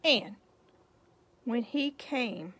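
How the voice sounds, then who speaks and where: Female storybook reader, slightly high voice, very slow delivery, expressive, for a young audience. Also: slight background noise. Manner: expressive, unhurried, pointing things out to children. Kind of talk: picture-book read-aloud for young children with asides about the pictures.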